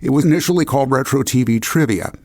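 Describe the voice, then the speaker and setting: A man's voice: medium pitch, quick pace, a little mellow. A man hosting a podcast in casual interview chat, jokey and self-deprecating, often chuckling as he goes. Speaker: podcast host.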